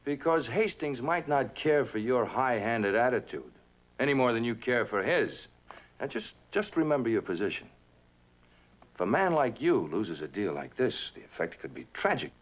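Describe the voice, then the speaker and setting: A man's voice: medium pitch, big pitch swings, normal speed. An actor playing a cold, condescending corporate right-hand man, polished and controlled, with veiled menace, in a mid-century television drama. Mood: veiled threat.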